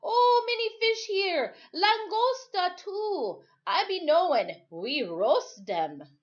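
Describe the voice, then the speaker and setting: A woman's voice: extremely high pitch, expressive, slow, dull audio, pitched above the performer's own voice, full character voice. A woman voicing a hearty, kindly older sailor from a storybook, speaking emphatic dialect with a lilt. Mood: excited, hearty. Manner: emphatic, exclamatory dialect speech. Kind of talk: storybook character read aloud.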